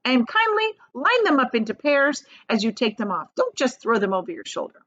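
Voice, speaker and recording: A woman's voice: fairly high pitch, very animated, normal speed, fairly bright tone, highs cut off, pitched above the performer's own voice. A woman voicing a bossy, impatient, grumpy male centipede in a children's storybook. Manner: impatient, commanding.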